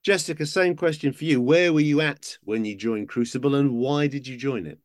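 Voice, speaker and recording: A man hosting an interview, asking brisk questions with light humor. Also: mid-range voice. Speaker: interviewer, host.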